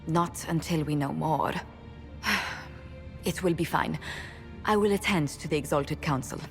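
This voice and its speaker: An actress playing a poised but anxious court diplomat in a fantasy game, with formal, precise diction. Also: female voice, low-pitched, unhurried, vocal fry, faint music behind. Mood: resigned. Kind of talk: video game dialogue acting.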